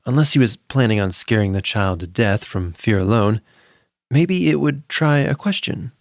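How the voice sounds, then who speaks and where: A man's voice: on the low side, lively intonation, normal speed, dry recording, clean studio sound. A man narrating a spooky children's story from a script in a dramatic, suspenseful way.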